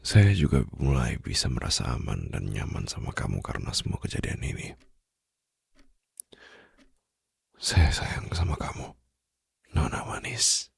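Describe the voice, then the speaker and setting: A man playing a smitten, suave mafia boss in an intimate ASMR romantic roleplay, teasing softly and possessively close to the microphone in a low, gravelly, breathy voice. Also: very animated, exaggerated voice. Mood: tender, seductive. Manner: slow, soft, flirtatious teasing with formal wording. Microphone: close studio microphone.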